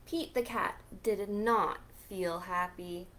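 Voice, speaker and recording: A woman hosting a children's storytime, reading a picture book aloud in a deliberate, expressive way. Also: mid-range voice. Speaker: children's librarian storytime host.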